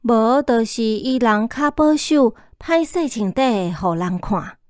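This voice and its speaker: A woman's voice: a little high, expressive, a little slow, darkish tone, no room sound, clean studio sound. An actress playing a man's knowing older sister in a Taiwanese radio drama, explaining and reasoning.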